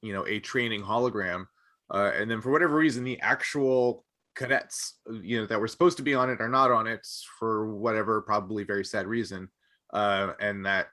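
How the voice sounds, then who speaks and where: A man on a podcast panel, thinking aloud haltingly in a casual fan discussion. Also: on the low side, bright, forceful tone.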